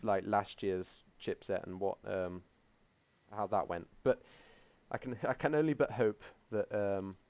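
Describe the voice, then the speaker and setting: A man's voice: on the low side, measured pace, darkish tone. A young man hosting a casual tech podcast, talking hesitantly with many ums. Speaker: podcast host.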